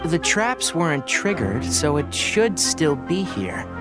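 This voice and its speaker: An actor playing a calm, scholarly young male researcher in a video game, articulate and measured as he explains. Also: a little high, over loud music. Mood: calm, analytical.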